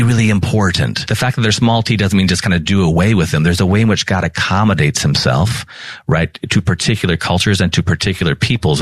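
Low-voiced American man teaching. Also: no room sound. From Minnesota, United States. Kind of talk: informal explanatory lesson with read-out passages.

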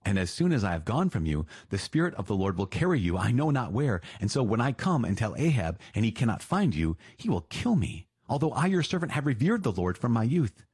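Man reader doing an anxious male steward in a scripture reading, husky, pleading. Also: mid-range voice, sing-song, brisk, few pauses, darkish tone, dry recording, studio quality, voice pushed up.

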